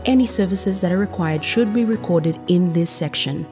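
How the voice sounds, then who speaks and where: A woman reading a scripted instructional narration for a training film in a measured, explanatory way. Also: lowish voice, mellow. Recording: music behind the voice. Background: background music.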